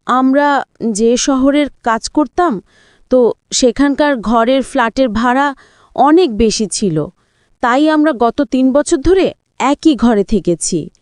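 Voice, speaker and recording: A woman's voice: high-pitched, slow pace, pauses often, a little mellow, smooth, no room sound. Woman voicing a defensive young daughter, dry sound. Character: defensive young adult daughter, graduate living with brother.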